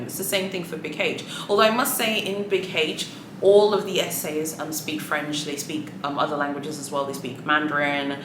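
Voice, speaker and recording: A woman whose first language is Swahili, chatting casually to camera with many fillers and sassy asides. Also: lowish voice. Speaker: luxury shopping vlogger. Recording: echoey room with clear background noise.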